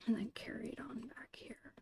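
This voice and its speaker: A woman murmuring casual ASMR painting commentary in a whispery, creaky voice, unhurried and thinking aloud, close to the mic. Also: a little high, fairly even intonation. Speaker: ASMR digital art streamer. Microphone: close microphone, dry.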